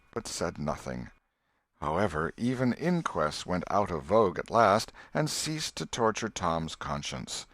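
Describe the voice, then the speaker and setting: A man reading a storybook as an audiobook narrator, in a measured, expressive way. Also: sits low, no echo.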